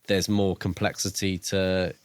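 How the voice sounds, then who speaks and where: A man co-hosting a podcast, discussing a book in a casual, measured and reflective way. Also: low voice, flat delivery, clean tone, no room sound. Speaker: podcast co-host.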